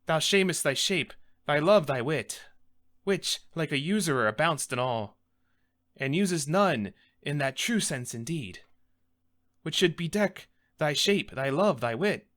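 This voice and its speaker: A man's voice: on the high side, expressive, unhurried, a bit bright. A man performing a Shakespearean monologue as a stern, exasperated friar, scolding in a declamatory, emphatic way.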